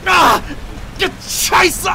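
A man's voice: very high-pitched, very slow, very noisy, exaggerated voice. An actor playing a wounded male passer-by in a German audio drama, in pain and crying out. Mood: agonized. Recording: loud music and rain behind.

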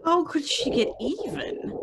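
A woman's voice: high voice, animated, unhurried, noisy background. A woman playing a troubled, haunted wife with a hesitant, dreamy manner in an old-time radio drama. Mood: anxious, intent.

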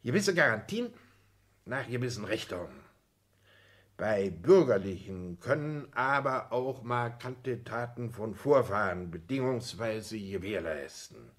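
Pompous, courtly count. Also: male voice, lowish voice, lively intonation. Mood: pompous, instructive. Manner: pompous, ornate, deliberate formal speech. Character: courtly aristocratic count and father, condescending, old-school. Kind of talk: 1960s German radio play acting.

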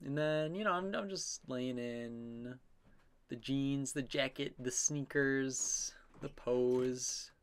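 A man's voice: mid-range voice, smooth. A man narrating his own drawing process in a casual, unscripted voice-over, rambling and self-amused as his musings trail off.

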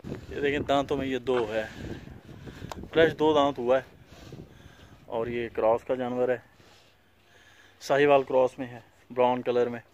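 A man's voice: medium pitch, fairly even intonation, unhurried, long pauses, a little mellow, dull audio, slight background noise. Farm owner, male, casual outdoor vlog walkthrough, plain and repetitive delivery, mid-range voice. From Pakistan. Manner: plain, repetitive pointing out of each animal's features. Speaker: cattle farm owner showing animals for sale. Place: outdoor dusty farmyard.

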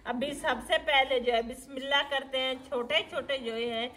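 A woman's voice: sits high, steady tone, moderate pace, bright, forceful tone, low-quality recording. A middle-aged woman, explaining a recipe step by step in a homely, matter-of-fact way as she cooks. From Pakistan. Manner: homely, matter-of-fact instructions with frequent fillers. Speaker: village cooking vlogger. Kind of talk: step-by-step cooking narration. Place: outdoor courtyard with brick walls.

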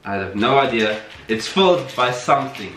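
A man's voice: mid-range voice, expressive, normal speed, a bit bright, recorded from a distance. A young man in his twenties with a cold, sounding nasal and stuffed up, chatting playfully while taste-testing candy as a vlog co-host. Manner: playful, teasing. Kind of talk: casual candy taste-test chat. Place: small bare room indoors, seated on a couch. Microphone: camera mic at a distance. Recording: roomy echo, from the mic, faint music.

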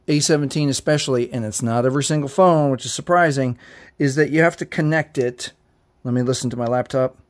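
A man's voice: medium pitch, darkish tone. A man hosting a tech tutorial, talking the viewer step by step through resetting a phone in a direct, repetitive way. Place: indoors at a table, phone held to camera.

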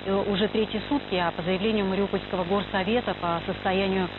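A woman's voice: medium pitch, steady tone, quick pace. A woman presenting scripted radio news. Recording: noisy dull shortwave radio broadcast, heavy hiss.

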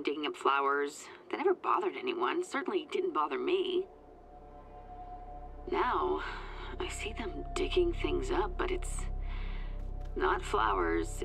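An actress playing a wry, secretive small-town psychic in a video game, with a hushed, confiding manner. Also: female voice, sits low, creaky, heavily acted. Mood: uneasy.

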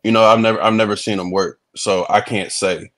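Deep-voiced man on a relaxed video call. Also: fairly quick. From Texas. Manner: relaxed, laughs easily, repeats words at starts. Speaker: comedian and reality show guest. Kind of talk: casual video-call interview. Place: indoor room.

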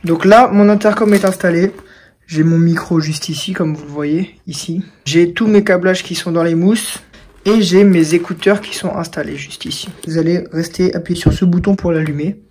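A man's voice: high-pitched, moderate pace, a little mellow, slight background noise. A young man giving a casual product tutorial, informal and talking straight to the viewers. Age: young adult. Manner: informal, addressing viewers directly. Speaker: video creator and presenter. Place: indoors in a home room.

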